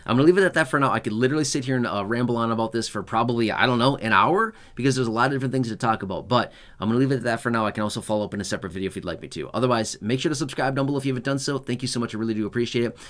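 A man's voice: mid-range voice, racing, few pauses. A man in his forties hosting a talking-head explainer vlog from a small home studio, chatty and reassuring, often tagging sentences with "okay?" and "right?". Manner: conversational, keeps checking in with "okay?" and "right?"; repetitive, reassuring, animated delivery. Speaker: YouTube channel host. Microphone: fixed camera at chest-up framing.

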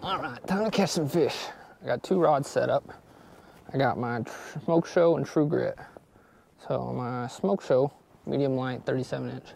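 Upbeat young man with a gravelly voice. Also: mid-range voice, moderate pace, a little mellow. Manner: upbeat, talks to viewers about his gear. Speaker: fishing vlogger. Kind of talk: casual outdoor vlog chat. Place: outdoors on a frozen reservoir. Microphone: action camera.

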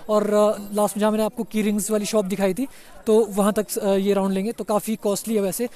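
Brief: young man vlogging outdoors, high voice but mellow tone, easygoing and chatty. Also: level tone, fairly quick. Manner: chatty, says "yaar" a lot, mixes in English. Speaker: travel vlogger. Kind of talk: casual walking tour commentary. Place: outdoors on a busy hill-town street.

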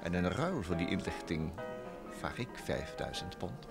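A man's voice: sits low, sing-song, a little slow, creaky, over loud music, exaggerated voice. Sly young male secretary, suave, swooping intonation, old radio play. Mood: slyly calculating. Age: young adult. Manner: suave, talkative, theatrically polite. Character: smooth, sly thirty-year-old New York private secretary, a blackmailer. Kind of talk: vintage Dutch radio drama acting.

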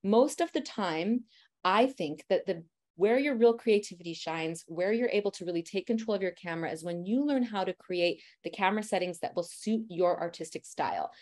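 Loud, chatty woman teaching a class. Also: mid-range voice, normal speed. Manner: energetic, direct, encouraging; says she talks a lot and loudly. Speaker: family photographer teaching posing. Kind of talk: presentation lecture.

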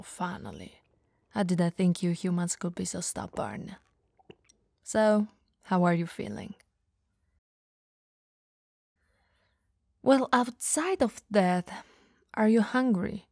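A gravelly, breathy vampire lady, played by a woman in an ASMR roleplay, speaking slowly and often sinking into whispers. She is teasing and in command, yet coaxing and caring, with a playfully condescending edge. The sound is close and dry. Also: mid-range voice, a little mellow, no room sound. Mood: coaxing, soothing. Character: teasing, dominant but caring female vampire. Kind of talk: ASMR audio roleplay, addressed to the listener.

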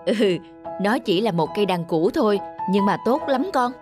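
Gentle elderly cartoon father, tender. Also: sits high, animated, normal speed, music in the background. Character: big, gentle, doting elderly working-class father. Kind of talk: cartoon drama voice acting.

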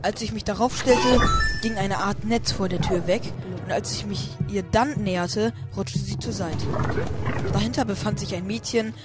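A man's voice: high-pitched, moderate pace. Male narrator over music. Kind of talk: scripted first-person narration of parody audio drama. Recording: music under the voice.

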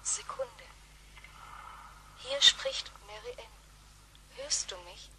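An actress playing a detached computer assistant in a sci-fi radio play, clipped and halting over a weak radio link. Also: female voice, very high-pitched, huge pitch swings, full character voice. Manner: clipped, telegraphic. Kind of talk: sci-fi radio drama acting. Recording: noisy, clicking radio link.

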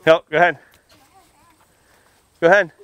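A man's voice: a little high, fairly even intonation, a little slow, a bit bright. An American man, a firefighter, giving an informal outdoor demonstration to young children in a projecting, folksy manner with simple questions. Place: outdoors, under a tent and beside a fire truck.

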